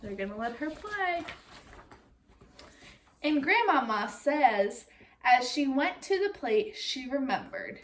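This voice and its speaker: A woman reading a picture book aloud like a teacher, expressive and speaking directly to young listeners. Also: high-pitched, slow pace, pauses often, a little hiss or hum. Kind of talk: read-aloud storytelling with comprehension questions.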